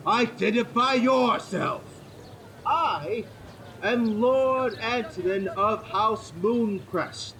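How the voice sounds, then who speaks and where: A pompous fantasy lord with a high voice and very slow, grandiose delivery. He addresses spirits in formal, theatrical proclamations. His voice creaks, over audible background ambience. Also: pushed and bright, heavily acted. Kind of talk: fantasy audio drama acting.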